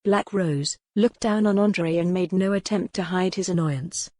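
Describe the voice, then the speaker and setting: A woman's voice: on the low side, unhurried, darkish tone, no room sound, clean studio sound. A woman reading a historical novel as scripted, dramatic audiobook narration.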